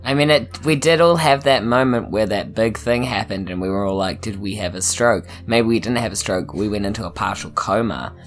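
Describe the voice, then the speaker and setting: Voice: mid-range voice, a little fast, few pauses, faint music behind. A tabletop game player bantering casually.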